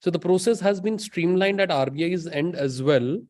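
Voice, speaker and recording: An Indian-accented man with a fairly high voice speaks as a panel expert. He explains emphatically, tagging points with a questioning "right?". The sound is very dry. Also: normal speed, a little mellow, no room sound. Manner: emphatic, often tags statements with "right?". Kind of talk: explanatory webinar panel talk.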